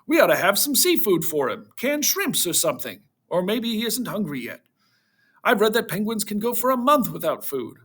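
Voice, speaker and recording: A man's voice: high-pitched, sing-song, normal speed, fairly bright tone, breathy edge, voice pushed up, heavily acted. A man voicing an eager, kindly father who likes to explain things, in a storybook reading.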